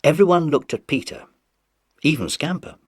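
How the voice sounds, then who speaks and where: A man's voice: fairly high pitch, animated, moderate pace, no echo, studio quality. A British man narrating a scripted children's audiobook story.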